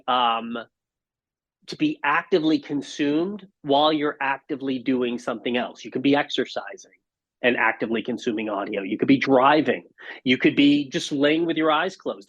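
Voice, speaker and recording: Male interview guest, husky voice, articulate explainer, slightly dull lo-fi line. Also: mid-range voice. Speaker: podcast guest, audio industry marketing executive. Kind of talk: conversational interview answers. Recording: dull, band-limited audio.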